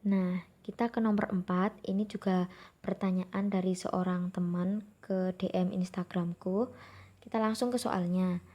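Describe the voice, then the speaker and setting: A woman's voice: lowish voice, steady tone, a little slow, dull audio. A young woman from Java with a Javanese accent, working through exam questions in a friendly, informal way. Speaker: exam tutor. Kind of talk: explaining exam questions to viewers.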